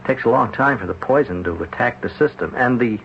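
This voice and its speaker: An actor playing a calm, thoughtful male hospital psychiatrist, measured and gently probing. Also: medium pitch, gravelly, slight background noise. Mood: concerned. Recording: muffled vintage radio broadcast.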